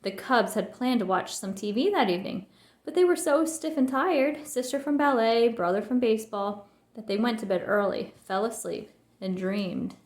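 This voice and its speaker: A woman's voice: a little high, lively intonation, normal speed, reverberant. A woman narrating a children's storybook as a scripted read-aloud.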